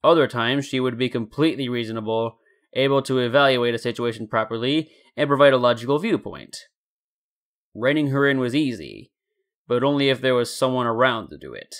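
A man narrating a scripted story in a deliberate, dramatic storytelling manner. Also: mid-range voice. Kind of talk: scripted fan fiction narration.

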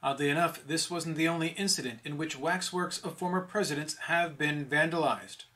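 A man anchors a mock news bulletin in a fairly high voice. He reads from a script in the formal manner of a newsreader. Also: normal speed, sharp tone.

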